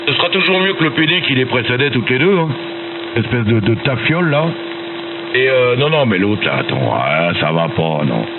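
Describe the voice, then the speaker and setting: A man's voice: medium pitch, sing-song, moderate pace. A man chatting casually as an amateur radio operator, blunt, opinionated and slangy, heard over a radio transmission. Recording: noisy, phone-like ham radio signal with hum. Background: radio static and hum.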